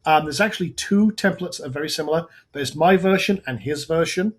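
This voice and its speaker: A man with a slightly raised pitch, hosting a live tutorial in a loose, chatty way. His voice is worn and going hoarse, and he often stops to restart a sentence. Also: brisk. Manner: casual, talks through on-screen steps with frequent restarts. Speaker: webinar host.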